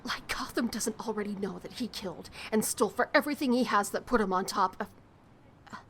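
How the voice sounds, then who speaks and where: Over clearly noisy ambience, an actress plays a jaded, streetwise woman in an audio drama. Her voice sits at a middle pitch, and she speaks with wry, bitter scorn, dropping into breathy, confiding asides. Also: brisk, a bit bright, lightly acted. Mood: scornful.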